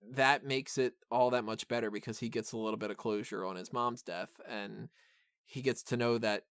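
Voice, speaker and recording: A man's voice: mid-range voice, fairly even intonation, moderate pace, a bit bright, smooth, no echo, studio quality. A man hosting a podcast, discussing a film casually in a rambling, enthusiastic way. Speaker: podcast host reviewing a film.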